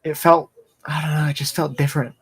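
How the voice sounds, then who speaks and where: A man's voice: fairly high pitch, flat delivery, fairly quick, a bit bright, breathy edge. A man in a casual chat, speaking in a hesitant way with phrases that trail off.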